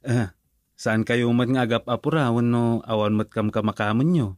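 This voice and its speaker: A man's voice: medium pitch, fairly even intonation, moderate pace, darkish tone, no room sound, studio quality, natural voice. A man playing a tender, grateful boyfriend in an Ilocano radio drama, with an Ilocano Filipino accent. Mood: affectionate.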